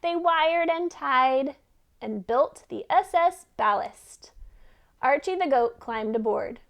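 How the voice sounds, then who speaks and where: A woman's voice: high-pitched, expressive, slow, gravelly, highs cut off. A woman reading a children's story aloud expressively, as a teacher who puts questions to listeners.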